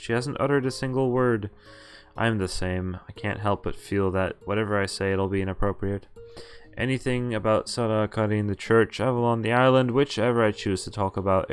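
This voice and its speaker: Streamer reading a game's story aloud; lowish male voice, stumbles and self-corrects, soft game music behind. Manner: reads plainly, stumbles and corrects himself. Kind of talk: reading a visual novel aloud.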